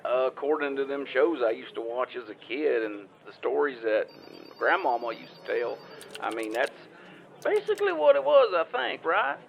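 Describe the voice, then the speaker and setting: A man's voice: mid-range voice, very animated, moderate pace, vocal fry. A man playing a folksy small-town caller, a former football player, who stammers and rambles in an improvised comedy phone call. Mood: unsure.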